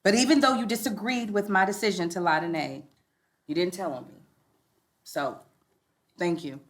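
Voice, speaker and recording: An American woman playing a strong-willed, affluent suburban mother and widow in a live comedy script reading, frank and expressive. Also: on the low side, moderate pace, natural voice. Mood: conciliatory.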